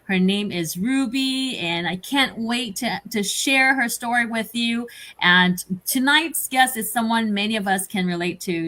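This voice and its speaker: A woman's voice: medium pitch, sharp tone. Upbeat woman hosting a live chat. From Canada. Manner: upbeat, repeats words for emphasis. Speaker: host. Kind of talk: live online interview chat.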